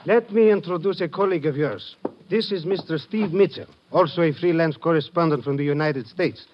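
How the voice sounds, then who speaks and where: An actor playing a reserved Greek police lieutenant in an old radio drama, speaking in a precise, formal, measured manner. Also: male voice, on the high side, lightly acted. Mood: courteous, guarded. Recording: dull, faintly noisy vintage radio recording.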